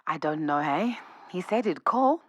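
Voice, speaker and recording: Audio drama lead: young Zimbabwean woman, anxious, conversational, expressive, very dry studio sound. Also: lowish voice, measured pace, no room sound. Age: young adult. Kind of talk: naturalistic podcast audio drama.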